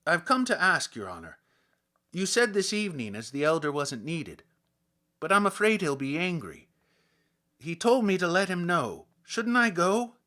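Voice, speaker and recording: A man's voice: a little high, big pitch swings, moderate pace, sharp tone, voice pushed up. A man voicing an anxious, deferential village policeman in an audiobook story, timid and apologetic with his superior.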